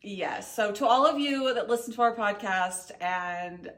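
Woman, young adult co-host, talkative and animated, a touch stuffy-nosed from recent illness. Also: medium pitch, measured pace. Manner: chatty, many "like" fillers, animated. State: slightly nasal and sniffly, getting over an illness. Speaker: podcast co-host. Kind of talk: casual chat.

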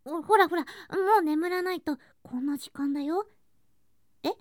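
A woman playing an affectionate, clingy younger girl cousin in an intimate bedtime ASMR drama, speaking softly and breathily, often in whispers, drowsy and coaxing. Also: sits high, steady tone, moderate pace, no room sound, studio quality, close to an everyday voice. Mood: playfully flustered. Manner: soft, drowsy, coaxing, sweetly childlike.

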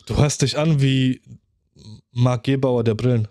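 A man's voice: medium pitch, fairly even intonation, measured pace, a little mellow, dry recording. Joking man in his forties, dry sound. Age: 40s. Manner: joking, colloquial, stumbles over words. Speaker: podcast co-host. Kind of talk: casual chat.

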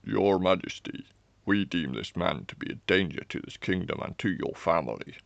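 A man voicing a grave royal guard with a deep voice, measured and formal, in a fantasy story. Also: lively intonation, creaky, no echo, lo-fi sound.

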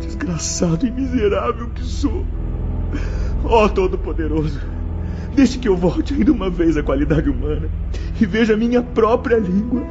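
A man's voice: high-pitched, animated, normal speed. A man playing the anguished, repentant spirit of a cruel slave trader in a radio drama, pleading and wailing tearfully. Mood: anguished remorse. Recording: muffled radio drama under loud music.

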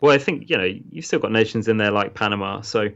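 A man's voice: lowish voice, fast talker, fairly bright tone, dull audio. An English man co-hosting a podcast, chatting casually, with easy laughs and lots of fillers. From England. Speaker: podcast co-host. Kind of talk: casual chat.

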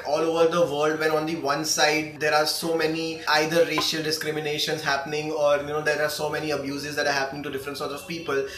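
A man's voice: on the high side, a bit bright. A man hosting a casual podcast chat, enthusiastic and rambling with many fillers. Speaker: podcast host. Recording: echoey room with music behind.

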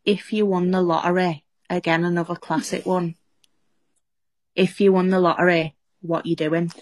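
A young woman with a Yorkshire accent that has drifted towards Scouse chats casually on a podcast, making jokes at her own expense. Her voice sits a little low. The sound is close and dry, with no room echo. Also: level tone, normal speed, a little mellow. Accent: Yorkshire with a Scouse tinge. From Serbia. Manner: chatty, jokey, self-mocking. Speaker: podcast co-host. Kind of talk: casual chat.

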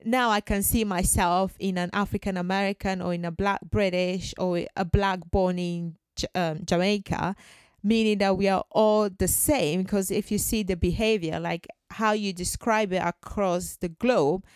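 Smooth-voiced woman, earnest and chatty. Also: medium pitch, fairly even intonation, moderate pace, no room sound. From Ghana. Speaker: podcast guest. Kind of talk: podcast conversation.

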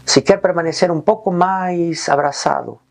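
A man leading a guided meditation in calm, gentle short phrases with long pauses. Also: fairly high pitch, vocal fry.

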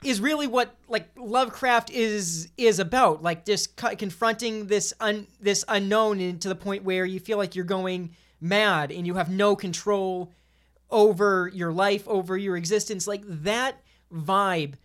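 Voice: medium pitch, fairly even intonation, a little slow, fairly bright tone. Rambling, stammering podcast talker. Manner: rambling, stammering, repeats phrases. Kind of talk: casual chat about video games.

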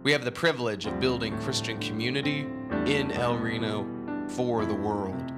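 Guy doing the church podcast intro, loud guitar behind him, steady read. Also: medium pitch, moderate pace. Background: guitar music.

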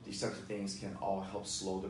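A man in his forties speaks with a very deep voice, talking fitness in a loose podcast chat. He is emphatic and energetic, leaning on "you know" and "right?". The mic is distant in an echoey room, with faint noise. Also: moderate pace. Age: 40s. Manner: energetic, emphatic, many fillers. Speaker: fitness guest expert.

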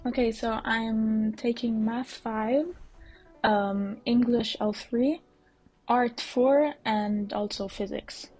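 A fifteen-year-old girl gives short, matter-of-fact answers in a husky voice with a flat delivery. Faint music plays underneath. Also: a little high, takes it slowly, poor audio. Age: teens. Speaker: student guest.

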